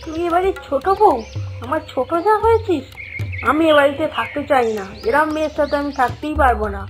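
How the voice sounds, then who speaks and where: A bossy young elder daughter-in-law from a family cartoon, acted by a woman. Her voice is very high and hot-tempered, over faint music. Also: brisk, close to an everyday voice. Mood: outraged, bossy. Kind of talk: Bengali moral-story cartoon voice acting.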